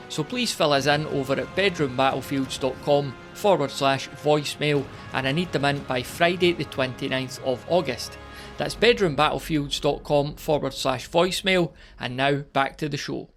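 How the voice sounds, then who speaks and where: A man's voice: a little high, moderate pace, a bit bright, soft background music. A man hosting a hobby podcast in a casual, informal, chatty way. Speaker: podcast host and interviewer.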